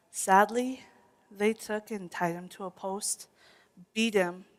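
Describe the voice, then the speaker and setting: A woman's voice: medium pitch, natural voice. A woman playing a patient storyteller in a scripted puppet show, explaining things in simple words.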